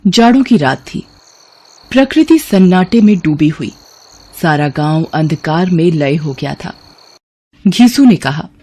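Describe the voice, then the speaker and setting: A woman's voice: sits low, expressive, unhurried, pauses often, dark tone, breathy edge. A woman reading scripted literary story narration as the narrator.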